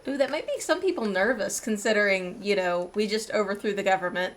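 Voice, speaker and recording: A woman's voice: medium pitch, a little fast. A woman giving casual, chatty video game playthrough commentary, thinking aloud over game music.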